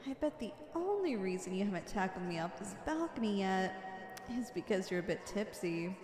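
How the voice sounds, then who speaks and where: In a large, echoing space with long reverb, a woman plays a female supervillain speaks in a theatrical, playful way at a medium pitch. She is flirtatious and teasing, with righteous conviction underneath, in the manner of an ASMR roleplay. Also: big pitch swings, normal speed, a crowd in the background, lightly acted. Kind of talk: ASMR roleplay, scripted acting. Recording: echoey hall-like reverb.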